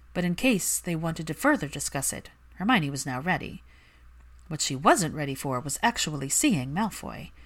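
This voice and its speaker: A woman's voice: low voice, breathy edge. A woman reading fan fiction aloud as a scripted story narration.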